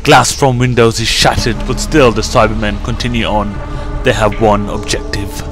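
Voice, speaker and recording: A man's voice: lowish voice, steady tone, normal speed, over music. A man reading scripted audiobook narration for a science-fiction story.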